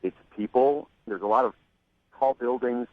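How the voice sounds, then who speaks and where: A man on a phone call to a radio show, speaking hesitantly with many pauses, restarts and "um"s. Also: mid-range voice. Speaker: caller on the phone. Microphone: telephone. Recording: muffled phone line.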